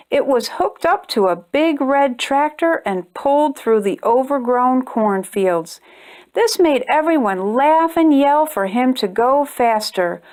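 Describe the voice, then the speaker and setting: A woman reading a children's storybook aloud with expressive, deliberate delivery. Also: fairly high pitch. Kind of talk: read-aloud storybook narration for young children.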